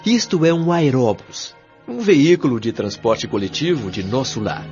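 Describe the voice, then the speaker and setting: Man playing a lively, reassuring Brazilian guide. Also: medium pitch, normal speed, natural voice. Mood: reassuring, friendly. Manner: encouraging, explanatory. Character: friendly, reassuring male guide showing a newcomer around. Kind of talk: audio drama dialogue. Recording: dull lo-fi audio with music underneath.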